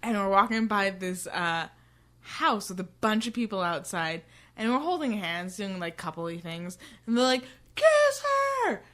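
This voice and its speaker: Give me a woman podcast co-host with a bright, forceful voice and very animated pitch. She chats casually and playfully, with a theatrical streak and a loose, unfiltered way of talking. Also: measured pace. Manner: playful, theatrical, prone to mock dramatic readings and bursts of song; swears casually. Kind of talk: casual banter about a book.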